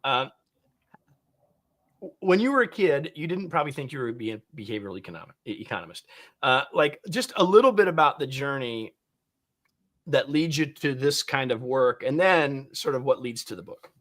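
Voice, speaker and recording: A man's voice: medium pitch, sing-song, brisk, fairly bright tone. An older man hosting a leadership podcast, reading promos and chatting with a joking manner. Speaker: podcast host. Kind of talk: podcast interview.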